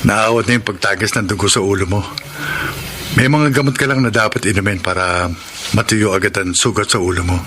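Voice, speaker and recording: An actor playing a hardworking, kind-hearted husband in a classic radio drama, speaking earnestly. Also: male voice, on the low side, brisk, pushed and bright, slight background noise. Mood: reassuring. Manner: earnest, rising to agitation.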